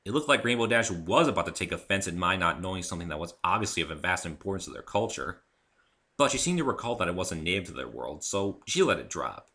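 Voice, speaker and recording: A man narrating a story in the first person as a dramatic reading, expressive and deliberate. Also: low-pitched.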